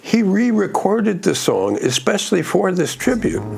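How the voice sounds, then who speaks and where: A man with a gruff voice hosting a casual music breakdown, rambling with restarts and plenty of enthusiasm. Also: high voice, very animated, a little slow, darkish tone. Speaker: music channel host.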